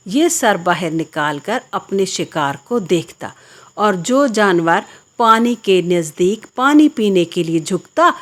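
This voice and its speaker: A woman narrator reading a scripted children's story. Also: mid-range voice.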